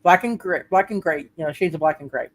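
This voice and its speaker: A man's voice: a little high, fast talker. A man narrating a live tabletop roleplaying game as game master, casually rambling and repeating his phrases.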